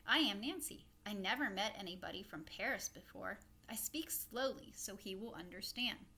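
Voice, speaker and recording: An eager little girl who loves fancy words, read aloud by a woman. She chats keenly and brightly, at an even, middle pitch. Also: normal speed. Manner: eager, chatty.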